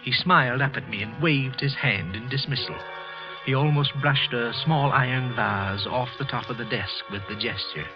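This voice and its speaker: An actor playing a bitter office clerk, narrating a halting confession in 1940s radio style. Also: male voice, mid-range voice, music in the background, close to an everyday voice. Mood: bitter, brooding. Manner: halting, tense confessional narration with repeated words. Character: bitter, trembling clerk consumed by quiet hatred. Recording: muffled, husky vintage radio recording.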